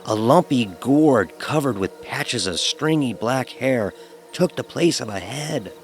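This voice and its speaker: Wry male horror narrator, medium pitch. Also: expressive, slow pace, faint music behind. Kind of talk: first-person horror story narration.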